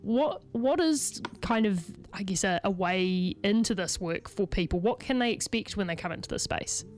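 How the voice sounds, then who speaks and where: Kiwi woman doing a radio interview, medium pitch, chatty, wordy questions. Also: lively intonation, moderate pace. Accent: New Zealand. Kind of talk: conversational live interview.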